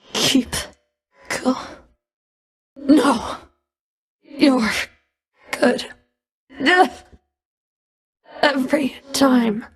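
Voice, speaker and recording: A woman playing a stern, scornful female Fury in a video game, curt, cool and commanding, with a breathy edge to her voice. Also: high voice, heavily acted. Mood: pained, defeated. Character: stern, scornful female Fury, a whip-wielding underworld enforcer. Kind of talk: video game combat voice lines.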